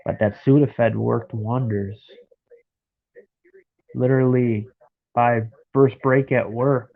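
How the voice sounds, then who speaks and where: A man's voice: medium pitch, fairly even intonation, takes it slowly, creaky. A man in his thirties with a stuffed-up nose, chatting and rambling to viewers from his bed at home on a live stream. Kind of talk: casual live stream chat. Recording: dry, phone-like lo-fi sound.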